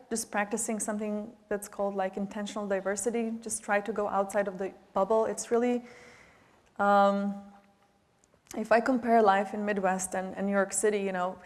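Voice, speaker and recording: A woman's voice: medium pitch, fairly even intonation, moderate pace. Bosnian-accented woman in an echoing hall. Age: 40s. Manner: heartfelt, hesitant, many fillers and restarts. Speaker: panelist, nonprofit director. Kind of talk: earnest public remarks. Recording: reverberant event hall.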